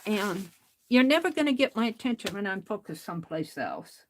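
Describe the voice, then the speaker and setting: A woman hosting an art livestream, talking casually to chat in a loud voice, rambling and restarting her sentences. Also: mid-range voice, very animated, normal speed, slight background noise, low-quality recording.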